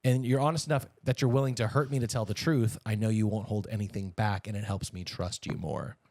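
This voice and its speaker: A man's voice: fairly low pitch, a little fast, a little mellow, no echo. A man in his thirties co-hosting a podcast in a casual, playful chat, with a jokey, bantering way of talking. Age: 30s. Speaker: podcast co-host.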